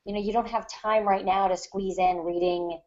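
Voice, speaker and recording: A woman's voice: medium pitch, steady tone, normal speed, darkish tone. A woman co-hosting a podcast in casual discussion, giving writing advice in an emphatic, explanatory way. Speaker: podcast co-host, fiction writer. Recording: dull lo-fi online podcast audio.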